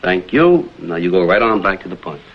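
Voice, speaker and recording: An American actor playing a cool, wisecracking private investigator in a vintage detective drama, with clipped, deadpan delivery. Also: male voice, deep voice, brisk. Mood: brisk, guarded. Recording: muffled old film soundtrack, noisy.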